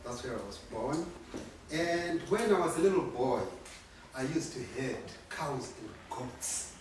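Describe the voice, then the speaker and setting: A middle-aged man from Zimbabwe telling his life story at a community workshop, unhurried but lively when acting out moments. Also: mid-range voice, a little hiss or hum. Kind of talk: personal storytelling. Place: community centre room. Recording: echoey room, distant mic.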